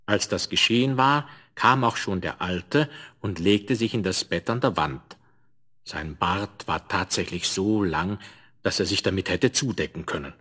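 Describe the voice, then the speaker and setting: A man narrating a scripted fairy tale in a measured, expressive storytelling manner. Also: low-pitched, husky. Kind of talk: scripted fairy-tale narration, 1970s German radio play.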